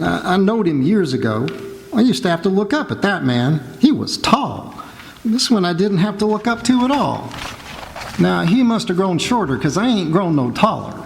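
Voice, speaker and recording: A man's voice: high-pitched, sing-song, gravelly, heavily acted. An actor playing a folksy, wry country man with ungrammatical speech in a radio drama. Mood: wry, reasoning. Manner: folksy, ungrammatical, deliberate reasoning. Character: folksy, wry rustic witness doubting the charge. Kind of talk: 1940s radio drama acting. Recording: live stage, hall reverb, noise.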